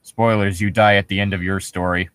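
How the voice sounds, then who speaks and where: A man's voice: low-pitched, little pitch movement, a little fast, fairly bright tone, clear, resonant tone, dry recording, studio quality. A man co-hosting a comedy show, bantering casually and mockingly reading internet stories aloud in a sarcastic, sweary way.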